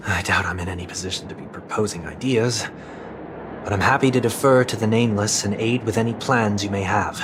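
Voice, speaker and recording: A man playing a courteous, solemn exiled gentleman in a video game, speaking in formal, measured phrases over background music. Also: fairly low pitch, background noise, natural voice. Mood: polite, inquiring. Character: courteous, solemn exiled gentleman bidding his home farewell.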